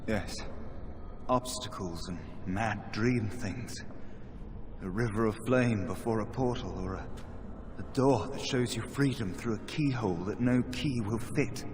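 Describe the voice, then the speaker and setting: An actor playing a weary, despairing trapped mage in a video game, speaking in a halting, resigned, bitter manner. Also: male voice, lowish voice, natural voice. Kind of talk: dramatic video game dialogue. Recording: game audio with noisy ambience behind.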